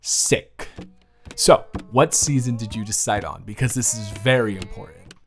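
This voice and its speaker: A man's voice: lowish voice, moderate pace, soft background music, close to an everyday voice. A young man playing a teasing, affectionate best friend of someone's brother in a casual, slangy romantic roleplay audio drama. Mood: upbeat.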